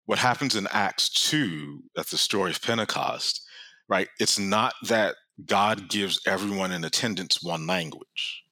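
A man's voice: sits low, measured pace, bright, forceful tone, no echo, poor audio. A man answering questions as a guest scholar, explaining earnestly in a conversational way and laughing often. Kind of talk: conversational teaching.

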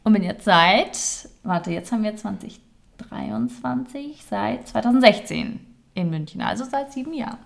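A young woman co-hosting a casual podcast, chatty and quick to laugh. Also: mid-range voice, expressive, vocal fry. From Germany. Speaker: podcast co-host. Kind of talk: casual chat.

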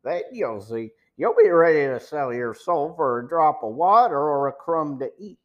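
A man's voice: fairly high pitch, animated, normal speed, lo-fi sound, natural voice. A man voicing a sour, threatening smuggler chief in an audiobook, pressing and sneering. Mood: taunting. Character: sour, menacing male smuggler gang chief. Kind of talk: audiobook villain dialogue.

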